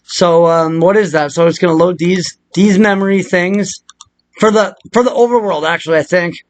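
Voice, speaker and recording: A man with a noticeably high-pitched voice hosts a casual video tutorial. He rambles in a loose, unscripted way, drifting into muttered asides. His delivery is crude and joking, with plenty of profanity. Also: moderate pace, dry recording.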